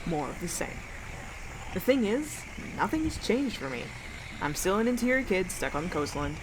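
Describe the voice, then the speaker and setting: A woman's voice: lowish voice, very animated, normal speed. A young woman playing a sardonic, blunt homeless survivor of the apocalypse in an audio drama. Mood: mocking. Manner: sarcastic, blunt, slangy. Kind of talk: radio drama monologue. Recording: clearly noisy, ambient background noise.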